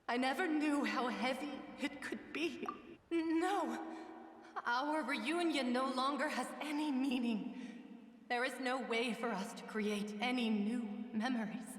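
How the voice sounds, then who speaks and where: A gentle, sorrowful ghost of a young woman, drifting slowly through formal, wistful phrases in a game. Her fairly high voice hangs in long reverb with music behind it. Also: distant mic. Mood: grieving. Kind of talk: dramatic video game voice acting.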